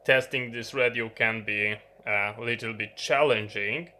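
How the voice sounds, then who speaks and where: A man who is not a native English speaker gives an unscripted product explanation, halting and often pausing mid-sentence. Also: mid-range voice, fairly even intonation, sharp tone. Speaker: video presenter.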